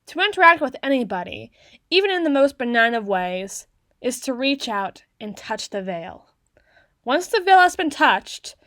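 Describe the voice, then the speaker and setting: A woman acts out a socially awkward, bookish tsundere girl in her young adulthood for an ASMR roleplay. Her voice sits fairly high and her phrasing is wordy and halting, easily flustered. The sound is very dry and close, with no room echo. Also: fairly bright tone. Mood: solemn, introspective. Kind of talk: ASMR roleplay, acted monologue to the listener.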